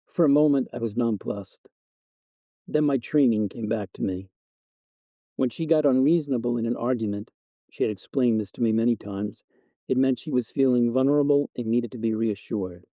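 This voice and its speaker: Man, very dark mellow tone, medium pitch, measured wry story narration, close dry recording. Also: dry recording. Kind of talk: scripted short story narration, first person.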